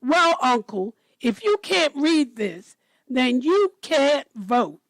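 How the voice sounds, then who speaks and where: A woman's voice: high voice, big pitch swings, slow, no echo, heavily acted, poor audio. A woman voicing a stern, bullying male deputy in a children's storybook reading. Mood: dismissive.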